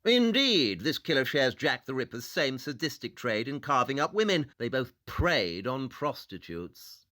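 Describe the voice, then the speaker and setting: Shrewd, self-assured male detective actor, audio drama; deliberate, theatrical, sharp voice with lively swings. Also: mid-range voice, no room sound. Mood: pensive, intense.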